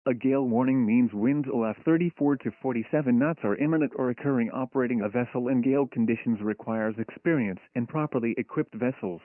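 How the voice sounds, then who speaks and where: Robotic guy reading weather alerts on the radio, formal and flat delivery, tinny and dry. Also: medium pitch, fast, hardly any pauses, no room sound. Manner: formal, impersonal synthetic reading. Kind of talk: scripted emergency weather bulletin.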